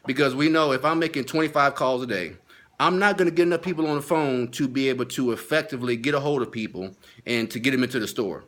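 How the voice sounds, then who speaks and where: A man's voice: mid-range voice, quick pace, fairly bright tone. A man speaking as a sales training consultant in an informal video lesson, stressing and repeating his key points. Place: at home.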